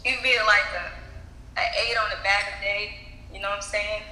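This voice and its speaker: A woman's voice: mid-range voice, steady tone, normal speed, sharp tone, roomy sound, long reverb tail, a little hiss or hum, poor audio. A woman chatting with a stranger over a live video app, laid-back and full of slang.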